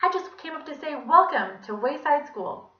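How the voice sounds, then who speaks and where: A woman's voice: sits high, sing-song, moderate pace, pushed and bright, reverberant, muffled recording, pitched above the performer's own voice, heavily acted. A woman voicing a kindly, chirpy female visitor in a children's story, sing-song and eager.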